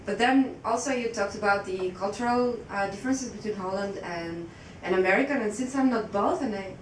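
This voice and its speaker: A woman putting an informal question from the audience, hesitantly and in a meandering way. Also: a little fast. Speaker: audience member asking a question. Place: echoey indoor conference room. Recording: echoey room, distant mic, faint rumbling noise.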